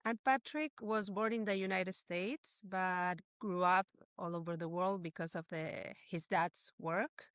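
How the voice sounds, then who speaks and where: A woman's voice: fairly low pitch, steady tone, a little slow, hardly any pauses, a bit bright, clear, resonant tone, no room sound, clean studio sound. A woman from Chile with a Chilean Spanish accent, answering as a podcast guest in casual, conversational English.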